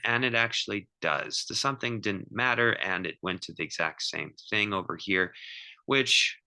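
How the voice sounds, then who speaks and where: A man giving an informal, step-by-step math lecture as a teacher, thinking aloud in a halting way and repeating himself. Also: low-pitched, sharp tone.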